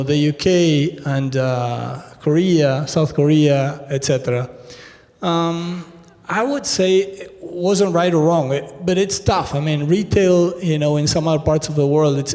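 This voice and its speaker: A man's voice: a little high, darkish tone. A man in his fifties from Argentina with an Argentine accent, giving a conversational keynote talk at a conference, with many fillers and restarts. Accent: Argentine Spanish. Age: 50s. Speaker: retail executive keynote speaker. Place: large conference hall. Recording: echoey hall with long reverb.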